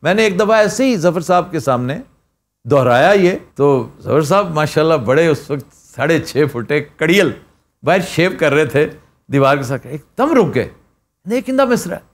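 A middle-aged man hosting a studio chat show, telling stories and reciting verse in an animated way. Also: a little high, moderate pace. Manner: animated, storytelling with quoted voices. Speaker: host. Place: TV studio set.

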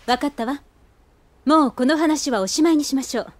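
A woman's voice: high-pitched, brisk, close to an everyday voice. A woman playing a proper, strict, refined upper-class teenage schoolgirl in an anime audio drama, with formal, prim speech. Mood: businesslike.

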